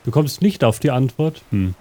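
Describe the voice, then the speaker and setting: A man hosting an interactive audio adventure as game master, with a rambling, absent-minded, deadpan delivery. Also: medium pitch, normal speed, slight background noise. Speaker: game master and show host.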